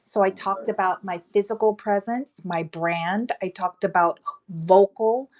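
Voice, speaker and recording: A woman with a light voice speaking as a guest on an online panel about leadership, in a measured, deliberate way. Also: mid-range voice, darkish tone. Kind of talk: panel talk answering a host.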